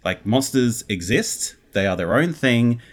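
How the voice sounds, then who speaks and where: A man's voice: mid-range voice, animated, measured pace. A man speaking as an interview guest in casual conversation, explaining his ideas earnestly and with emphasis. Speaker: interview guest, audio drama creator.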